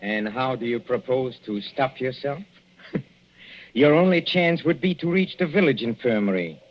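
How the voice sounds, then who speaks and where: A man playing a possessive, cruelly polite husband in a 1940s radio thriller, speaking in a deliberate, sardonic and halting theatrical manner. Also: medium pitch, expressive. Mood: smug menace. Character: possessive, cruelly polite husband poisoning his wife, himself failing. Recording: muffled, noisy old radio recording.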